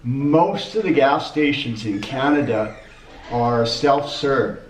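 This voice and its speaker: A man's voice: medium pitch, slow pace, a little hiss or hum. A middle-aged man chatting casually as co-host, wry and hesitant, often restarting his sentences. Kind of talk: casual chat. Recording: dull echoey room, mic at a distance.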